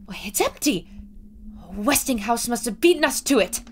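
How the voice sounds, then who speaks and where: Woman as observant adventurer, slightly high voice. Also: expressive, normal speed, a little hiss or hum, natural voice. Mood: searching. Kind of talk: pulp radio drama acting.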